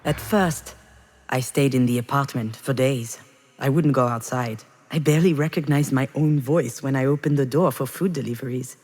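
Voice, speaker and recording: An actress playing a reflective, wounded woman confiding her memories in measured, intimate visual novel narration. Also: very deep voice, darkish tone. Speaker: video game character narrator. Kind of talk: introspective scripted narration, visual novel voice acting.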